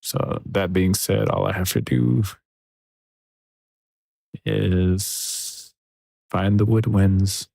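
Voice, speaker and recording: A man mixing music on a livestream, thinking aloud in a soft, relaxed, casual way. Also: sits low, extremely slow, gravelly, long pauses, dry recording. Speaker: music producer streaming a mixing session. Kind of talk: casual livestream commentary while working. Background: faint music from the mix being worked on.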